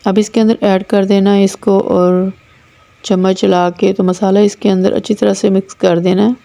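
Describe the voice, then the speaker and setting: A woman's voice: medium pitch, gravelly, warm tone. A woman with a Pakistani Urdu accent, hosting a cooking channel and explaining a recipe step by step in a plain, repetitive instructional way. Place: home kitchen.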